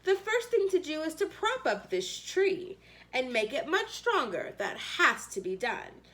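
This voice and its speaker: Faithful, patient bull elephant for a storybook, played by a woman: earnest, emphatic delivery with lively melody. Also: sits high, voice pushed up, heavily acted. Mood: earnest, determined. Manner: earnest, emphatic, measured declarations.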